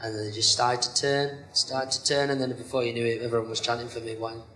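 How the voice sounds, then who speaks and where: A man's voice: mid-range voice, fast. Rambling man with an echoey sound. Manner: rambling, many "you know" fillers, self-described monotone. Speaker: professional wrestler, interview guest. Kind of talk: casual interview chat.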